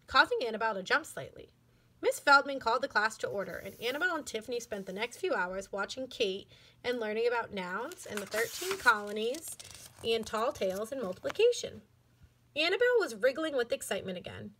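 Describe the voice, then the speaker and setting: A woman reads a children's story aloud. Her voice is high and bright, and she narrates expressively, like a teacher addressing pupils. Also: big pitch swings, brisk, slight background noise, low-quality recording. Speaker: elementary school educator. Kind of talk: children's book read-aloud.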